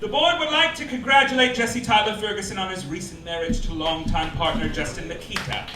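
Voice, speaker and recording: A man's voice: sits high, fairly quick. A man performing a theatrical, campy comedy monologue, reading mock-official proclamations as host of a live show. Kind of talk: stand-up comedy monologue read to a live audience. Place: cabaret club stage before a laughing audience. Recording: roomy live club, distant mic, loud noise.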